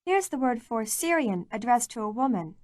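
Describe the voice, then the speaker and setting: Woman narrator for a language lesson tape, slightly high voice, dry close sound, clear and measured. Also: very animated, darkish tone, no room sound. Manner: clear, measured, prompting the learner. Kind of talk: scripted instructional narration.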